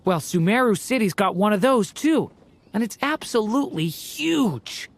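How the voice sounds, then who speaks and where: An actor voicing a gentle, shy young dragon boy in a game, soft-spoken and hesitant. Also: high-pitched, sing-song, a little slow, gravelly, full character voice. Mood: hopeful. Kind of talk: game voice acting.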